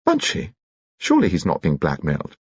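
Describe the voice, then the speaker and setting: A man's voice: sits low, huge pitch swings, slightly rough, no room sound, dull audio, heavily acted. A man voicing a spirited, teasing elderly society mother who talks emphatically. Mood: playful. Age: older. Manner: brisk, emphatic, playful.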